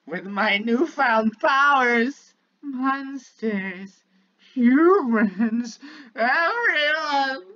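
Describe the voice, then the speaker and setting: Flowey, wildly swooping talking flower. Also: female voice, a little high, sharp tone, highs cut off, exaggerated voice. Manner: mocking, theatrical, swinging from cutesy to menacing. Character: Flowey, small menacing talking flower, gleeful and cruel. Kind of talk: dramatic villain monologue from a game.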